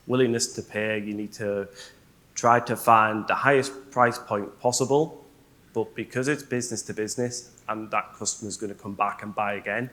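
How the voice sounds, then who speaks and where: A man's voice: on the low side, a bit bright. A man speaking on a conference panel as a business manager, explaining his points step by step and often checking in with "right?".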